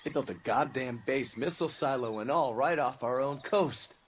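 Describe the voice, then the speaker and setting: An actor playing a gruff, profane male spy handler in a jungle combat video game. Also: medium pitch, moderate pace, a little hiss or hum, natural voice. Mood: terse, guarded.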